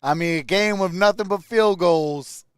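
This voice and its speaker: A man's voice: high-pitched, moderate pace, clear, resonant tone, dry recording, studio quality. A man hosting a sports livestream, casually mixing play-by-play with informal, chatty replies to viewers. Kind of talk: casual live play-by-play and chat.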